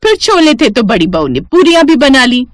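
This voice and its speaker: A woman's voice: high-pitched, big pitch swings, normal speed, dry recording. A woman voicing a chatty, boastful neighbour lady in a cartoon family story. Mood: pleased, boastful. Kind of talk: Hindi moral-story cartoon voice acting.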